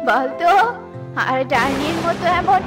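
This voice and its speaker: A woman's voice: high voice, unhurried, music in the background, pitched below the performer's own voice. A woman voicing a grumpy, suspicious auntie bird in a children's cartoon, scolding and irritated. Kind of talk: Bengali children's cartoon voice acting.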